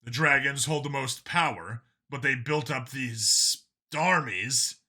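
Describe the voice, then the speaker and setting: A man's voice: medium pitch, takes it slowly, pushed and bright, slightly rough, full character voice. A man voicing an earnest, argumentative, world-weary male cop in an audiobook, arguing in long, insistent speeches. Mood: grave, insistent.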